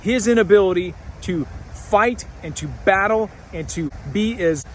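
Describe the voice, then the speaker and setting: Male host, fiery political commentator, high-pitched and emphatic. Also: a little slow, slight background noise.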